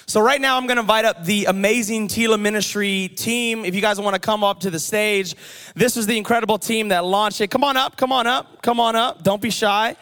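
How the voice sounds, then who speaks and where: A man's voice: high-pitched, fairly even intonation, brisk, fairly bright tone, clean tone, long reverb tail. A man leading a church service as pastor, upbeat and rallying the crowd. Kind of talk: sermon.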